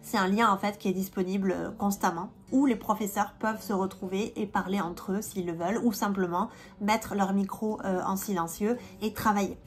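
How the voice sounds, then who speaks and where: A woman's voice: medium pitch, steady tone, normal speed. Woman, 30s, vlogger with a cold; loose, filler-heavy chat, faint music underneath. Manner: chatty, many fillers and restarts. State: stuffy, has a cold. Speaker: vlogger and independent language teacher. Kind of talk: casual vlog chat.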